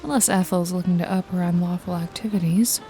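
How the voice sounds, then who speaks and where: Woman acting wry jaded lady detective, mellow voice, sardonic n teasing, noir asmr roleplay, music behind. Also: on the low side, fairly even intonation, brisk, lightly acted. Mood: watchful, matter-of-fact. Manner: sardonic, foul-mouthed, nonchalant, teasing. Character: wry, jaded female detective and hired killer, casually menacing. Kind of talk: noir ASMR roleplay, intimate one-sided dialogue to listener. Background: jazzy music and rain effects.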